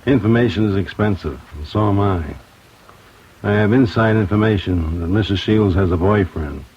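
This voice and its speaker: Actor as a deep, sly underworld informant. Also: male voice, moderate pace, a little mellow, close to an everyday voice. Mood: sly, knowing. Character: slick male underworld informant with a price. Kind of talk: old-time radio crime drama acting. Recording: dull, hissy old radio recording.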